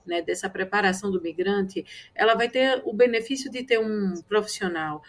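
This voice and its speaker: A woman's voice: medium pitch, normal speed. Woman explaining a project steadily. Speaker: nonprofit representative guest. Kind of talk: panel discussion.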